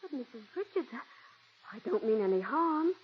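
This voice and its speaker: An actress voicing a young, stage-struck female stenographer who is adoring and eager, in 1940s radio acting style with a breathy, gushing way of talking. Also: a little high, very animated, moderate pace. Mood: pleading. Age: young adult. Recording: dull 1940s radio broadcast.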